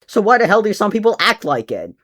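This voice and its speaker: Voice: mid-range voice, sing-song, quick pace, fairly bright tone. A young adult native Finnish speaker talking in a casual vlog, blunt and sarcastic, swearing now and then while ranting. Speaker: video creator.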